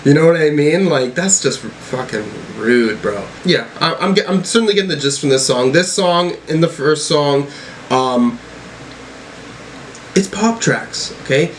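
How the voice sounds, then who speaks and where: A man's voice: fairly high pitch, lively intonation, measured pace, slight background noise, poor audio. A man giving casual album reaction commentary, rambling and swearing freely, often tagging on "right?" and "you know". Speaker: music reaction reviewer.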